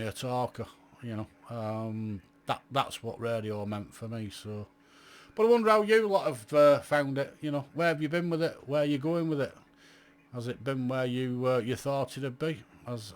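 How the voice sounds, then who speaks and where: Man, middle-aged, blunt, chatty, rambling vlog talk. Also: mid-range voice. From England. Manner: blunt, chatty, rambling with many rhetorical questions. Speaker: amateur radio YouTuber. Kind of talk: casual vlog monologue to viewers.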